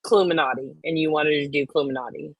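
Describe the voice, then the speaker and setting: A woman's voice: deep voice, fairly even intonation, quick pace, slightly rough, rough recording. A woman co-host chatting informally and profanely between readings of online comments. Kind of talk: casual banter and dramatic readings.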